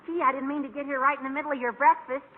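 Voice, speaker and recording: An American actor playing an eager, scheming teenage schoolboy, gawky and over-earnest, in an old radio sitcom. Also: male voice, extremely high pitch, fairly even intonation, fast. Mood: earnest complaining. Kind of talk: 1940s-50s American radio sitcom acting. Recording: tinny old radio recording, faint hiss.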